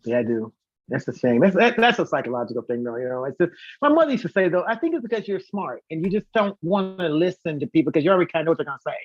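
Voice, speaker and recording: A man who sings and teaches voice, talking as a guest in a casual live interview, telling stories in an animated way and acting out what people say. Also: a little high, very fast, no echo, lo-fi sound. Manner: talkative, animated storytelling with acted-out quotes and demonstrations.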